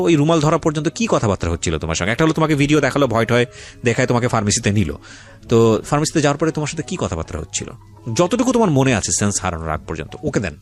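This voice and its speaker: A man with a Bangladeshi accent hosting a radio interview, speaking emphatically, repeating phrases and mixing in English words. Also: on the high side. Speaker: radio host. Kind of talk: radio interview and commentary on life stories. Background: faint music.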